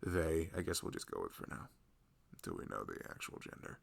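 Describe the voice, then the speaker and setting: Guy acting as a sweet nervous husband, asmr roleplay, real deep n crackly voice, soft rambly talk. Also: big pitch swings, a little fast, exaggerated voice. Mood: playful. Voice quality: low, gravelly voice. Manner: rambling, soft-spoken, chuckling, often drifting toward a murmur. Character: loving, nervous young husband, father-to-be. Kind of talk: improvised ASMR roleplay, intimate chat.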